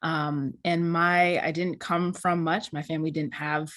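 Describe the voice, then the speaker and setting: A woman's voice: sits low, little pitch movement, a little slow, a bit bright, dry recording, studio quality. A young woman from Boston, a business founder speaking on a panel over a video call, easygoing and casual. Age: young adult. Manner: easygoing, laughs lightly between points.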